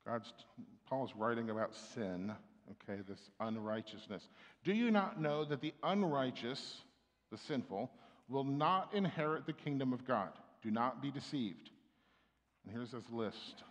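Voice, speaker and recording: A man's voice: medium pitch, animated, measured pace. A middle-aged man preaching a sermon from a church pulpit, speaking conversationally with frequent restarts and fillers. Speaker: pastor. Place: church sanctuary. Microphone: stand mic at pulpit, far camera. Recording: long church reverb.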